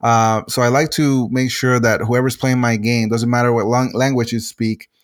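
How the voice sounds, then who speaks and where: Puerto Rican game designer, halting speech. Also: male voice, medium pitch, level tone. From Puerto Rico. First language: Spanish. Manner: halting, many restarts and fillers. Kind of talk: casual interview answers.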